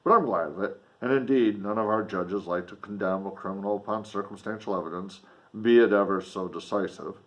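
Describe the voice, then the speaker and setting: A man voicing a matter-of-fact court officer in a gothic novel, speaking in a formal, measured way. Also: lowish voice, close to an everyday voice, low-quality recording. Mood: brisk, satisfied.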